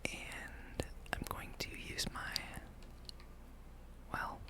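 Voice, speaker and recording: Soft whispered gaming ASMR ramble. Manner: unhurried, casual musing.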